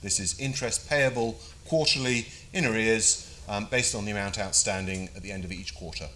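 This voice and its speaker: A man's voice: on the low side, a little fast, bright, forceful tone, slight background noise. A British man presenting a funding briefing to a live audience, chatty and self-deprecating with frequent fillers.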